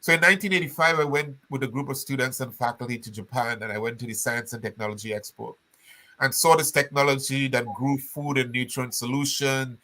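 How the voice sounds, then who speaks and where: Older man, bright forceful voice, animated storytelling, interview guest. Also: medium pitch, brisk, rough recording. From Trinidad. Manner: animated storytelling, frequent restarts.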